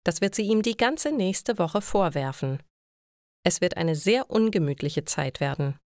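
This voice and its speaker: A woman's voice: on the low side, lively intonation, unhurried, darkish tone, no room sound, clean studio sound. A woman narrating scripted short stories for language learners.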